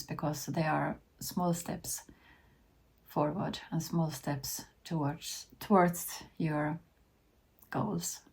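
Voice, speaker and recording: A woman in her fifties from Sweden, whose first language is Finnish, talking casually to camera in a hesitant way with many soft restarts. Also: deep voice, slightly rough. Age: 50s. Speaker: vlogger.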